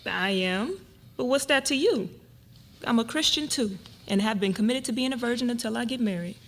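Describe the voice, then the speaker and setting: A woman's voice: a little high, moderate pace. A woman playing a wary, curious, outspoken young college student in a dramatic reading of a novel. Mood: questioning. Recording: noisy live event recording.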